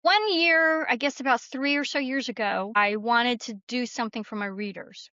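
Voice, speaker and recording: A woman's voice: a little high, moderate pace, bright, forceful tone, clean tone, dry recording. A woman speaking as an author and podcast guest, telling stories in a casual, conversational way on a slightly lo-fi recording. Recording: slightly dull, lo-fi sound.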